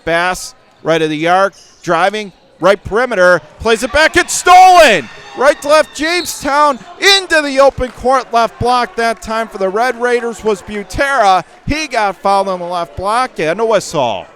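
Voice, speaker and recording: High-pitched excited basketball announcer man. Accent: Western New York. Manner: rapid calls that surge to excited shouts on big plays. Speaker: radio play-by-play announcer. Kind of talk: live basketball play-by-play. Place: high school gym.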